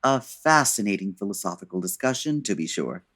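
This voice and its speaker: Man acting as suave 1940s gentleman detective, very low voice, dry wit, formal, unflappable, radio mystery comedy. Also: very animated, fairly quick. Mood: dryly amused. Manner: dry, urbane, formal wording.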